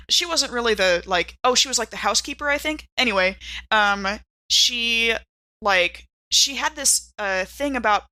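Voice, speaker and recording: A woman's voice: mid-range voice, sharp tone, no echo. A woman chatting casually as a podcast co-host and player. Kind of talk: casual chat.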